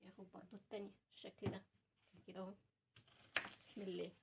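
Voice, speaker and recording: A woman's voice: mid-range voice, level tone, fast talker. A woman with an Egyptian Arabic accent giving a casual, chatty cooking demonstration, explaining things directly to her viewers. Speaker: home cooking vlogger. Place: at home while preparing food. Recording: clearly noisy home recording with clicks.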